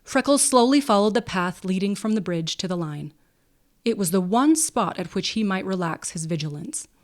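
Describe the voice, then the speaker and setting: A woman narrating a literary story from a script, expressive and measured, with a dramatic storytelling delivery. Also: mid-range voice. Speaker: audiobook narrator.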